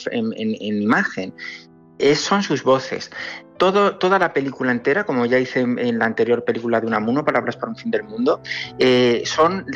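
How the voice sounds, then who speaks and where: A man's voice: mid-range voice, a bit bright. Man, Spanish accent, mid-range voice, film director in interview, emphatic explainer, slightly muffled audio, music underneath. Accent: Spain. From Andalusia, Spain. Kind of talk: conversational interview answers. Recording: slightly dull sound, music behind.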